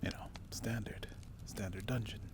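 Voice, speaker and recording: A man voicing a brave but wary barbarian recruiter in a dark fantasy ASMR roleplay, speaking in a hushed, halting way. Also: on the low side, fairly even intonation, close to an everyday voice. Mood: hushed, ominous. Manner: slow, hushed, halting delivery with pauses. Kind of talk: ASMR roleplay, whispered and softly spoken.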